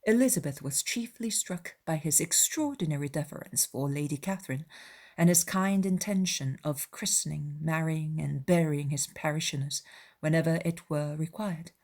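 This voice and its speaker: A woman's voice: sits low, moderate pace, darkish tone. A woman reading scripted narration of a classic novel as an audiobook narrator.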